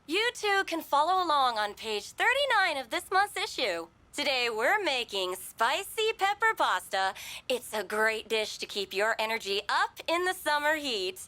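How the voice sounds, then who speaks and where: A woman playing a brisk cooking instructor giving recipe steps in a video game. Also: high-pitched, sing-song, bright, forceful tone, exaggerated voice. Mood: instructive.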